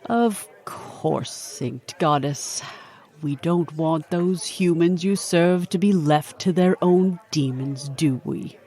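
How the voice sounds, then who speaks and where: A woman's voice: lowish voice, warm tone. A woman playing a regal, composed queen of a supernatural council, speaking formally in an audio drama. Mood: gracious, stately. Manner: formal, measured, stately.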